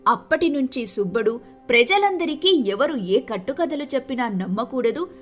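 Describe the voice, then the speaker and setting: A woman's voice: high-pitched, animated, normal speed, faint music behind. A woman narrating a scripted moral story as the storyteller.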